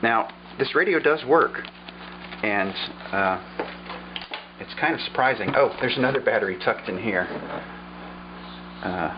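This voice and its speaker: A man casually showing off and explaining an old radio, unhurried and thinking aloud with fillers. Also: mid-range voice, animated, muffled recording, noisy background. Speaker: vlogger showing a vintage radio. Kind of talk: casual show-and-tell demonstration.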